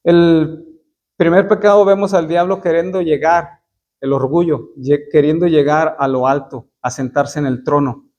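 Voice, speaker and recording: A man preaching a Bible sermon, reading verses slowly and explaining them in plain words, often stopping to repeat and restart words. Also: a little high, steady tone, darkish tone, highs cut off. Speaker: Baptist preacher.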